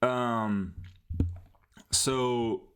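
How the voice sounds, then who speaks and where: A young Colombian-born man talks loosely into a headset mic while streaming from home. His speech is easygoing and full of slang, with stumbles and restarts, and he slips into Spanish now and then. His pitch sits right in the middle for a man. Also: drawn-out words. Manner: relaxed, slangy, switches into Spanish, frequent restarts. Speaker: live-streaming app developer. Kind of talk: casual live-stream chat with viewers.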